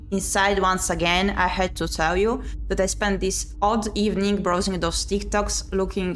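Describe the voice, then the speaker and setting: A woman's voice: medium pitch, fairly bright tone. A woman streamer reading a visual novel aloud and chatting casually about it. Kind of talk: casual playthrough commentary and narration. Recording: clear background noise.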